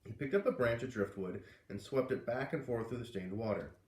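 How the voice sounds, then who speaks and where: A man reads a children's story aloud, bouncing into character voices with big leaps in pitch. His voice sits in the middle of the male range. The sound is roomy and a little distant, as if the mic is across the room. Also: fairly quick, a little mellow. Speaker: reader reading aloud to kids.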